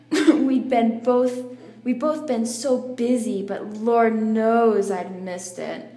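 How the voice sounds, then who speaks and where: Young woman, high voice and unhurried pace, reading romantic fiction aloud with barely held-in giggles. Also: dark tone. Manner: playful, often breaking into giggles. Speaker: reader in a water-spitting challenge. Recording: noisy, echoey room with clicks.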